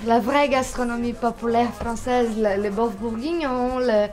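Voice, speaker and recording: Brazilian woman in her twenties, slightly high. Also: steady tone, darkish tone. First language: Portuguese. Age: 20s. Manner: unhurried, searching for words with repeats. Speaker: interviewee. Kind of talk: casual interview at home.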